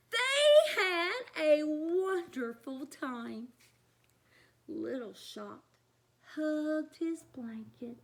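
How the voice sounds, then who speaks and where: Children's story reader: woman, high voice, lively melodic range, expressive delivery with chatty asides. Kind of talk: animated read-aloud for young children.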